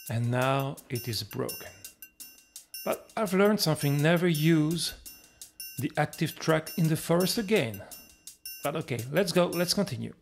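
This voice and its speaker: A man's voice: on the high side, creaky. A Belgian man in his fifties vlogging outdoors in a forest, telling a story in a playful, mock-dramatic way to a handheld camera. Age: 50s. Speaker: travel vlogger. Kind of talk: casual vlog to camera.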